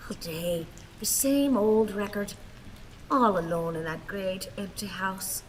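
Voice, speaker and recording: A woman playing a frail, emotional old Irish wife in a radio play, speaking in a plaintive, halting way. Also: mid-range voice, close to an everyday voice. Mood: weary, tender. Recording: reverberant hall, hissy rain-like background noise.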